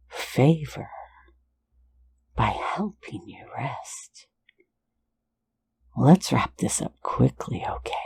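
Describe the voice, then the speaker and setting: A woman's voice: extremely low pitch, vocal fry, mellow, husky, full character voice. A woman voicing a sly, playful phantom thief in an ASMR roleplay, mostly whispering close to the mic in a teasing, soothing way. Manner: teasing, soothing, lulling listener to sleep.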